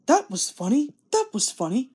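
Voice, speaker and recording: Casting: woman playing a small naughty storybook engine, male, high and lively, full of cheek. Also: normal speed, breathy edge, exaggerated voice. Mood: teasing.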